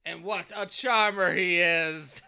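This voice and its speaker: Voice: medium pitch, fairly even intonation, slow, vocal fry, bright, forceful tone, full character voice. An actor playing a gleeful mad male scientist in an audio drama, speaking in a theatrical, gloating, declamatory way. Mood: smug showmanship. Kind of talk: hobby audio drama villain acting.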